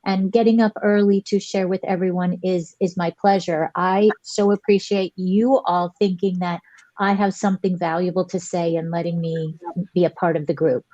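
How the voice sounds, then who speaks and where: A woman's voice: lowish voice, flat delivery, normal speed, dark tone, no echo. A woman in her sixties, a veteran teacher, chatting earnestly and graciously as a guest on a video call talk show. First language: English. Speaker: guest educator. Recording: slightly dull dry video call audio.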